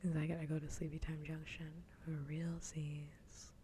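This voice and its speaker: Low whispering woman doing cozy ASMR. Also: monotone, moderate pace, slight background noise. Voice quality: soft, breathy whisper. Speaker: livestream host. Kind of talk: whispered ASMR, casual chat. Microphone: close ASMR microphone.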